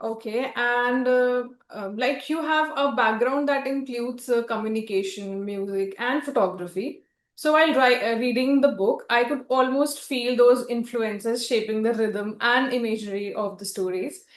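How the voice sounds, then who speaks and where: High-voiced woman hosting in a roomy echo. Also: moderate pace. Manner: polite and deferential. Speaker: interviewer and host for a publisher. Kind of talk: author interview, partly scripted introduction and questions.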